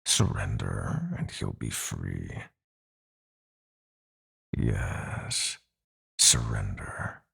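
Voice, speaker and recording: Cruel god of nightmares, extremely low growl, husky and breathy, slow taunting purr, possessive roleplay villain. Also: male voice, huge pitch swings, a bit bright, exaggerated voice. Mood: gloating menace. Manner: slow, taunting, theatrical purring. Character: sadistic possessive male god of nightmares, silky menace. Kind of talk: dramatic audio roleplay villain.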